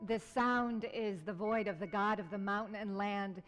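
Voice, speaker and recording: An actress plays a grieving immigrant woman who speaks with solemn weight and a steady middle pitch. Her voice rings with long stage reverb while soft music plays underneath. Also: normal speed, close to an everyday voice. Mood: mournful.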